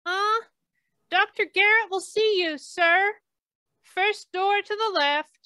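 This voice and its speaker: A woman's voice: very high-pitched, slow, bright, forceful tone, dry recording, exaggerated voice. A woman playing a polite, efficient young hospital switchboard operator in an old-time radio drama. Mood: polite, businesslike.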